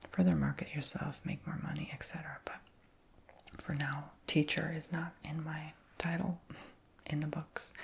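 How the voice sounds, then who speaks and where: A man whispering through a rambling ASMR storytime chat, his voice high-pitched for a man, with frequent ums and likes. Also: creaky, husky. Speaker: ASMR creator.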